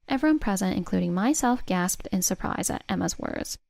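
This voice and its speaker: Woman reading a story script, measured, bit of vocal fry, dry close recording. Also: mid-range voice, lively intonation, darkish tone, no room sound. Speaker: story narrator. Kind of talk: scripted first-person story narration.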